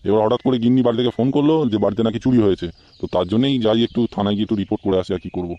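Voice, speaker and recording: Man playing a very fast-talking, hot-tempered husband. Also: lowish voice, dark tone, muffled recording, natural voice. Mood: aggrieved. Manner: indignant, cursing. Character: aggrieved married man, hot-tempered theft victim. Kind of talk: comic cartoon voice acting.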